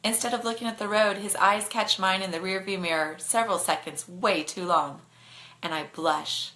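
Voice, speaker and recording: A woman's voice: medium pitch, steady tone, moderate pace, pushed and bright. A woman reading a bedtime story expressively to online viewers, as its narrator.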